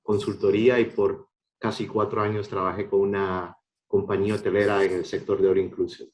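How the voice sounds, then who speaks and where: A man's voice: on the low side, level tone, fairly quick. A man from Honduras working in hotel consulting, asking questions on a webinar video call in a hesitant way with restarts. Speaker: hospitality consultant, audience member.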